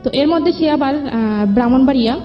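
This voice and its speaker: Bangladeshi female guest, highish voice, recounting personal story; dull lo-fi audio over music. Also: fairly quick. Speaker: guest telling own life story. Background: background music.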